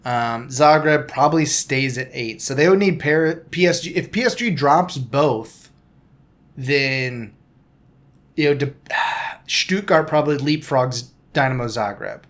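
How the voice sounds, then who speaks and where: A man's voice: mid-range voice, unhurried, a bit bright. A man hosting a casual soccer podcast, rambling with frequent restarts and self-corrections. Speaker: podcast host. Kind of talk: casual sports podcast chat.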